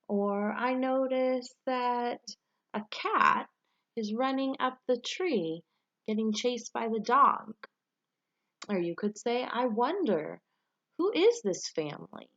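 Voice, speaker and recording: A woman teacher reading a storybook aloud to pupils and giving them instructions in a clear way suited to children. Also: fairly high pitch, expressive, slow, a bit bright, dull audio.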